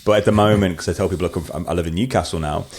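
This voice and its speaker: A middle-aged man with a low voice and a twangy blend of Scouse and Mancunian. He chats casually as an interview guest, rambling through anecdotes with a self-deprecating touch. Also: very fast, a little mellow, slightly rough. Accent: hybrid Scouse-Mancunian. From northwest England, Wirral and Manchester. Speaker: podcast guest, wedding photographer.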